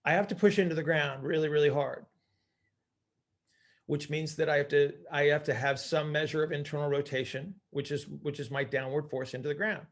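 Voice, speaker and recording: Gravelly male coach explaining things. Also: on the high side, fairly even intonation, brisk. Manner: emphatic, asks and answers own questions, often checks with "right?". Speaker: host and coach leading a group conference call. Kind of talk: informal teaching, explaining by question and answer.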